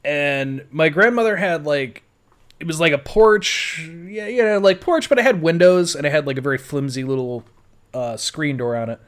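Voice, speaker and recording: A man's voice: on the high side, normal speed, a bit bright, clean tone. An American man telling his life story casually as a podcast host, rambling and swearing freely. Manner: rambling, foul-mouthed.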